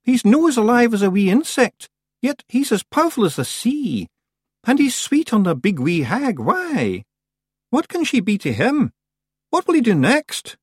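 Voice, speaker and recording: A tiny rowdy Scottish fairy warrior, high and loud. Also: male voice, slightly rough, no room sound, clean studio sound, full character voice. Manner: high, excited brogue.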